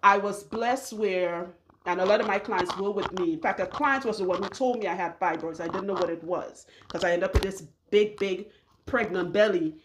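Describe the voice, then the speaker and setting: A woman's voice: mid-range voice, moderate pace, background noise. Trinidadian woman in her forties, hair care vlogger, frank and talkative, mid-range voice. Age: 40s. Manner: candid, chatty, digressive, laughs often. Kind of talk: casual advice monologue.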